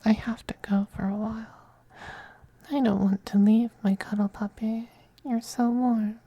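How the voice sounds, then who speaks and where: An actor voicing a possessive, doting male vampire noble, a femboy lover, in a soft, breathy, whispered ASMR roleplay with a coaxing, tenderly domineering manner. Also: medium pitch, level tone, a little slow, highs cut off. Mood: tender, soothing.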